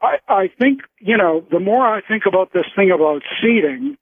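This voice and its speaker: A man with a fairly high voice phones in to a talk radio show. He muses casually, with halting pauses. The line is thin and narrow, like an old telephone. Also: expressive, dry recording. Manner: musing, halting. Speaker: call-in listener. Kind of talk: casual radio call-in chat. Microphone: phone call.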